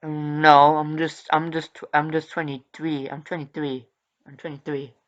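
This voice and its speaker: Hesitant man, a bit high and smooth. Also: flat delivery, normal speed, a bit bright, lo-fi sound. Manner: hesitant, short answers with fillers. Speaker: caller playing a customer. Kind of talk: casual phone conversation.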